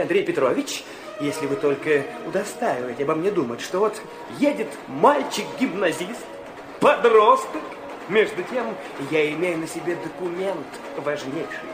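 An actor playing a brooding, earnest young man recounting his wounded past in a theatrical radio drama, in halting phrases that drop to a hush. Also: high voice, background noise. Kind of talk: literary radio drama narration.

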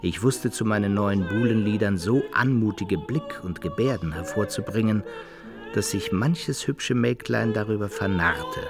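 A man's voice: lowish voice, lively intonation, a little slow. An actor playing a self-satisfied rogue narrating his love conquests in a literary radio drama, in archaic phrasing. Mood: smug, amorous. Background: opera-like music.

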